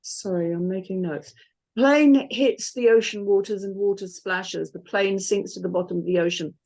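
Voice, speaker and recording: A woman's voice: mid-range voice, normal speed, gravelly, a little mellow. A woman reading out stage directions as scripted narration.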